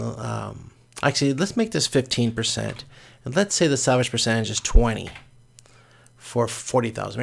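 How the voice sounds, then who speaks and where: A man giving an informal, step-by-step spreadsheet lecture as a course instructor, with a gravelly, creaky voice. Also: medium pitch. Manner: explains step by step in plain informal words.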